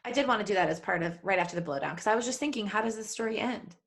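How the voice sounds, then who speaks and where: A woman's voice: mid-range voice, animated, very fast, fairly bright tone. A woman co-hosting a casual comedic podcast, playfully steering her friends along with questions. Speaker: podcast co-host. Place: recorded remotely over a video call.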